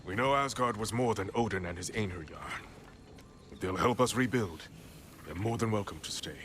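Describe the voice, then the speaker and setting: Guy voicing a blunt forest chief in a game, lowish voice, faint hiss behind. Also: moderate pace, lightly acted. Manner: blunt, calm. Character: blunt male forest-people leader.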